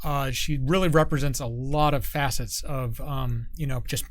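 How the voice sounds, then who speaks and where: A man's voice: medium pitch, fairly even intonation, moderate pace, no echo. A man, talking informally and ramblingly in a casual interview as a creative staff guest.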